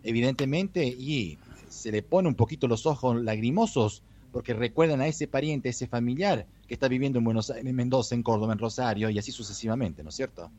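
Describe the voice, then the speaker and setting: A man's voice: medium pitch, mellow. A man from Argentina whose Argentine Spanish carries Italian touches. He reports as a correspondent in Europe, explaining at length and tagging his points with rhetorical questions. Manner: measured, explanatory, tags sentences with rhetorical checks. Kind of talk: phone-in radio commentary.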